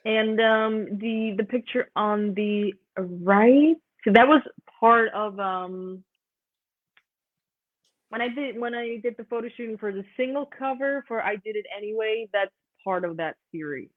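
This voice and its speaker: A woman's voice: on the high side, measured pace. A young woman with a Swiss German accent, a guest musician talking in a chatty way and laughing often. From Switzerland. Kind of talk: casual interview chat. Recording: tinny phone-like line.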